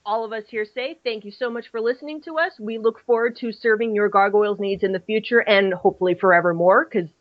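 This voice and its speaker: A woman's voice: medium pitch, quick pace, few pauses, no echo, muffled recording. A woman co-host signing off a podcast, casual and irreverent with swearing. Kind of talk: casual sign-off.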